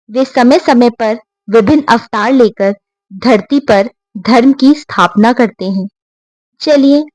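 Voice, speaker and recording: A woman narrating scripted devotional stories in a measured, reverent and formal manner. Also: fairly high pitch, dry recording, rough recording. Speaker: storyteller.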